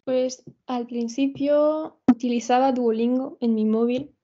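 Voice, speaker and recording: A young Frenchwoman whose first language is French talks softly and haltingly in a mellow, flat voice, set slightly high. She calls in from a small bedroom, and the call audio sounds a bit dull. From France. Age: 20s. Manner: soft-spoken, halting, with fillers. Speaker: guest, dancer. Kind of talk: conversational interview. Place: small bedroom with photos on the wall.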